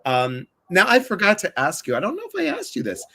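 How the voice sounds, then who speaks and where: A man's voice: sits high, wildly swooping pitch, a little fast, vocal fry, pushed and bright. A man from the Chicago area hosting a casual livestream conversation, chatty and full of asides. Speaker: livestream host.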